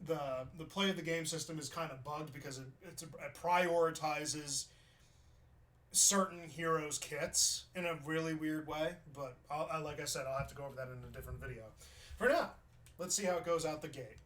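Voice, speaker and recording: A man from New York giving casual, chatty commentary while reviewing gameplay footage, thinking out loud and restarting his sentences. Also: fairly high pitch.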